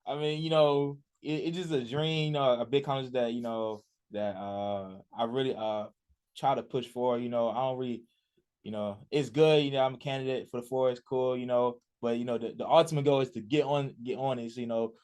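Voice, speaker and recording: A man's voice: medium pitch, steady tone, brisk, darkish tone, clean tone. A young man in his early twenties from Richmond, Virginia, a college athlete answering a radio interviewer in a casual, rambling way with many fillers and restarts. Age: 20s.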